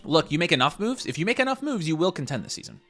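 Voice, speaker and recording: A man talks casually on a livestream, friendly and reassuring as he hands out advice. His pitch swings widely from phrase to phrase. Soft music plays faintly underneath. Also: fast talker. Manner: lively, reassuring, chatty with fillers. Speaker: co-host.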